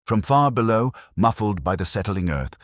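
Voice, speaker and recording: A man reading a dramatic mystery story in a solemn, measured, literary storytelling manner. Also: lowish voice, big pitch swings, dry recording, clean studio sound. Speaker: audiobook narrator. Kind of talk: scripted dramatic mystery narration.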